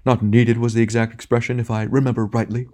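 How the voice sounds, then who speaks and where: Audiobook villain, performed by a male narrator: ghostly ham tragedian, bullying and self-pitying, loud declamation pitched well up, swooping. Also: animated, moderate pace, lightly acted. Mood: indignant. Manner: declamatory, melodramatic, bombastic. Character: bullying theatrical tragedian phantom, grandiose wounded self-pity. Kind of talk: dramatic audiobook character acting.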